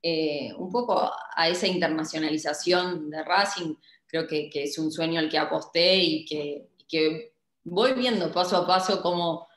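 A woman's voice: sits low, measured pace, fairly bright tone, reverberant. A young woman with an Argentine accent, speaking on a video call as a guest, hesitant with many fillers and restarts. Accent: Argentine Spanish. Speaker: club director, guest. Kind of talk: casual interview on a video call. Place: home office, indoors. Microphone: laptop webcam mic on a video call.

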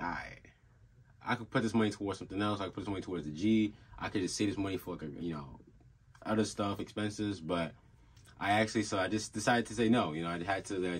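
A young man with a low, slightly husky voice talks straight to a desk camera in a small room at home. He rambles in a relaxed, easygoing way, with plenty of fillers and restarts, like he is catching up with his viewers. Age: young adult. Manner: laid-back, rambling, many fillers and restarts. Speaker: car channel host. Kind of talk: casual vlog update.

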